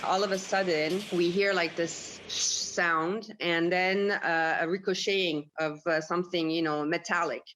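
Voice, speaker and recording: A woman giving a halting, conversational eyewitness account in an interview. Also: on the low side, fairly even intonation, a bit bright, rough recording. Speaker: resident being interviewed.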